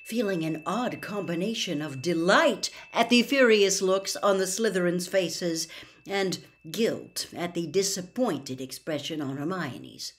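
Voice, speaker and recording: A woman reading a story aloud in an expressive narrating style. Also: lowish voice, unhurried. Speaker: audiobook reader.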